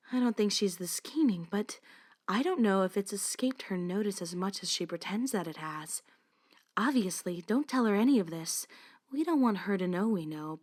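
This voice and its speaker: A woman's voice: mid-range voice, a little mellow, close to an everyday voice. A woman voicing a poised, caring teenage club president in a fan visual novel, articulate and confiding.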